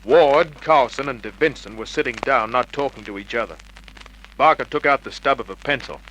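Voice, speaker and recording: Man acting a bright-voiced, hard-boiled radio detective. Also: medium pitch, normal speed, natural voice. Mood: narrating, tense. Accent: Australian. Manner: clipped, hard-boiled, matter-of-fact. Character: tough, wry male private investigator narrating his case. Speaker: lead actor and narrator. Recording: noisy vintage radio drama recording.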